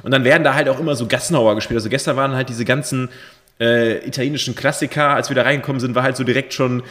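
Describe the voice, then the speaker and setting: A man in his thirties co-hosting a podcast, telling a rambling story full of fillers, his voice a bit hoarse after a night of drinking. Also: mid-range voice, fairly even intonation, very fast, a bit bright, big-room sound. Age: 30s. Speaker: podcast co-host. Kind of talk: casual chat.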